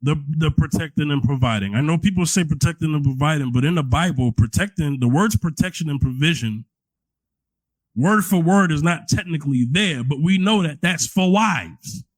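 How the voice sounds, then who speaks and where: A man's voice: fairly high pitch, animated, moderate pace, no room sound, poor audio. A man co-hosting a podcast, giving advice conversationally and emphatically, repeating phrases to build his point. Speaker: podcast co-host.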